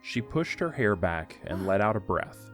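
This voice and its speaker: Guy narrator reading a story, lowish voice, faint music behind him. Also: very animated, moderate pace.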